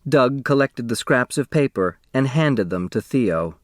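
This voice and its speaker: A man reading a story as an audiobook narrator, in expressive scripted narration. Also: medium pitch, measured pace, dry recording, clean studio sound.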